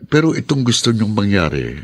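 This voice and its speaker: A man's voice: on the low side, big pitch swings, a little fast. A man with a Filipino accent playing an uneasy middle-aged teacher in a radio drama, hesitant and fatherly. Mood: reluctant, worried.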